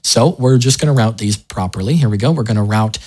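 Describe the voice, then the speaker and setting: A man narrating a software tutorial in a casual, informal way, explaining each step as the host. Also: on the low side, fairly quick.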